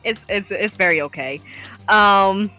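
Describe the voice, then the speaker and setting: A woman's voice: medium pitch, unhurried, bright, forceful tone, faint music behind, poor audio. A woman from the Southern United States with a Southern accent, rambling and hesitant in casual stream chat. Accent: Southern American.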